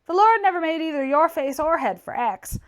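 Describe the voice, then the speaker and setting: Woman acting a brash young tradesman, high-pitched, lively swooping delivery, scornful, brusque, emphatic, classic novel character. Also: fairly quick. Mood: brusque, mocking. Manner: brusque, mocking, emphatic exclamations. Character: blunt, opinionated, scornful young tradesman gentleman. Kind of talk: dramatized audiobook character reading.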